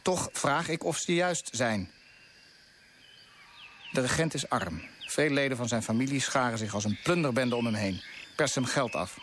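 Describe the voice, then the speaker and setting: A man's voice: medium pitch, expressive, normal speed, a bit bright, slight background noise, close to an everyday voice. A man acting a righteous, idealistic colonial official in a radio drama, speaking in an earnest, insistent, declamatory way. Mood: stern, questioning. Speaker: actor in a radio play.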